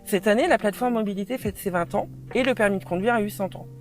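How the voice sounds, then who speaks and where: Brisk woman coordinator introducing a film. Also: medium pitch, faint music behind. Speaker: mobility platform coordinator. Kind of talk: prepared introduction.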